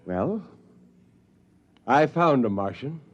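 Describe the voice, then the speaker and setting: An actor playing a brooding, fanatical renegade spaceman in an old-time radio drama, speaking with deliberate, poetic intensity. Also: medium pitch, very animated. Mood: probing. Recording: dull old radio recording.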